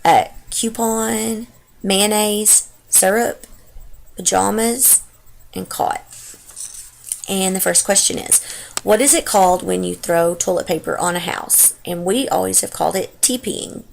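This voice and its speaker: A woman's voice: medium pitch, unhurried, vocal fry, rough recording. A young woman with a heavy Texas accent, chatting casually and rambling through a home vlog, recorded on her computer's webcam mic. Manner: chatty and rambling, many fillers. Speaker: stay-at-home mom vlogger. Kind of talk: casual unscripted vlog chat. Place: home, partly the living room.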